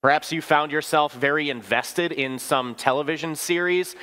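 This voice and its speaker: A man's voice: on the high side, normal speed, sharp tone. A male pastor in his thirties preaching a sermon in a church sanctuary, conversational and storytelling, asking the congregation questions. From Wisconsin, US. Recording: long church reverb.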